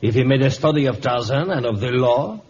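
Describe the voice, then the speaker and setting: A man's voice: medium pitch, expressive, brisk, darkish tone, lightly acted. Man playing a haughty French lieutenant, swooping delivery. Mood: sly, probing. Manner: haughty, insinuating. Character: scheming, arrogant, sour colonial army lieutenant. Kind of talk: 1950s radio drama acting. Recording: dull, noisy vintage radio recording.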